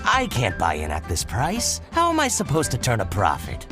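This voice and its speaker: A man's voice: medium pitch, huge pitch swings, moderate pace. An actor playing a shrewd adult male boutique merchant in a video game, who haggles and schemes in a talkative way. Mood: scheming. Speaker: merchant character. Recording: game music bed under the voice.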